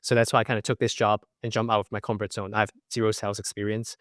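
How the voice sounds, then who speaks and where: Low-voiced man in his twenties, easygoing. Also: very fast, no echo, clean studio sound. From Macau. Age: 20s. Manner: relaxed, many fillers like you know. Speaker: podcast guest. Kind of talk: casual interview.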